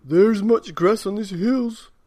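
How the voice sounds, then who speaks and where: A man's voice: high-pitched, slow, mellow, no room sound, clean studio sound, pitched above the performer's own voice. A man voicing a kindly, eager very old man in a children's storybook, with an exclamatory delivery. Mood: reassuring.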